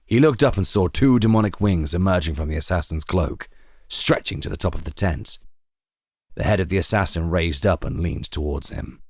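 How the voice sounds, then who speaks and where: Deep, husky male storyteller, dry sound. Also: a little mellow, no echo. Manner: measured, suspenseful storytelling. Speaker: narrator. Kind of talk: dramatic scripted audio drama narration.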